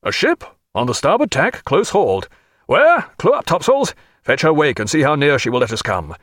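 A man's voice: mid-range voice, sing-song, fairly quick, fairly bright tone, dry recording, clean studio sound. A man voicing a bold, hot-blooded frigate captain in an audiobook, barking orders in a commanding manner. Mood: decisive. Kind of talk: audiobook character voice.

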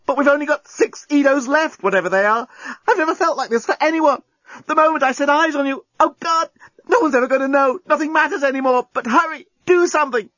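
A man voicing an excitable, passionate young woman in a comic audiobook, panicky and breathless. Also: very high-pitched, fairly quick, no room sound, dull audio, pitched above the performer's own voice. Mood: frantic, amorous.

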